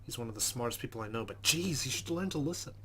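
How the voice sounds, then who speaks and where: A man's voice: medium pitch, lively intonation, fast, bright, forceful tone, slight background noise. A man hosting a let's play, expressively reading visual novel narration aloud with chatty casual asides. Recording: music playing behind voice. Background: game music with guitar.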